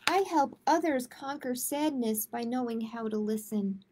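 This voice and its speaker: A woman hosting a live picture-book storytime for children, speaking to viewers in simple, deliberate phrases. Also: fairly high pitch, darkish tone, slight background noise.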